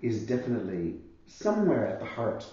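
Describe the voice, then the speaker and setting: A man in his fifties, an actor and theatre director, talking thoughtfully about his career in a husky voice from a cold, often pausing and restarting. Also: medium pitch, huge pitch swings, warm tone, heavy reverb, lo-fi sound.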